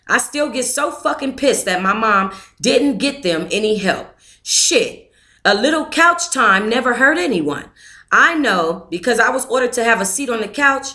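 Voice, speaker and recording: Bright-voiced woman reading a memoir aloud. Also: fairly high pitch. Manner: reads in first person, breaks off to thank donors and comment. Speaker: book club host reading aloud.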